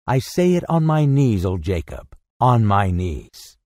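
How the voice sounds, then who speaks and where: A man's voice: lowish voice, big pitch swings, a little slow, darkish tone, dry recording, clean studio sound. A man voicing an old Victorian miser, repentant and fervent, in an exclamatory, swooping storybook performance. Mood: fervent gratitude. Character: elated repentant old miser, giddy, pleading, Victorian Englishman.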